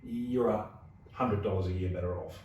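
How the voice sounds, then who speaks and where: A hoarse, gravelly-voiced man with an Australian accent, hosting an investing podcast and explaining ideas through everyday examples. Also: deep voice, normal speed, darkish tone, heavy reverb, distant mic, a little hiss or hum. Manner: explains with everyday analogies, frequent restarts. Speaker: podcast host. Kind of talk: casual investing podcast chat.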